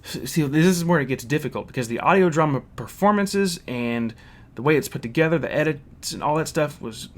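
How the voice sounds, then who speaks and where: A man's voice: fairly high pitch, animated, fairly quick. A man giving a casual, opinionated review and story recap, speaking in a blunt, critical way.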